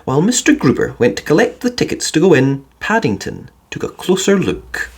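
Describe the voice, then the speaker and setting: A woman reading a children's storybook aloud as an expressive narrator. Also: sits low, brisk, gravelly, breathy edge. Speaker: storybook reader.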